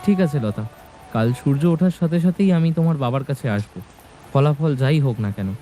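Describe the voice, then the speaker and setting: A man's voice: medium pitch, animated, moderate pace, mellow, soft background music, natural voice. A man playing an earnest, proud, poor young woodcutter in love in a cartoon, often pleading. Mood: troubled, resolute.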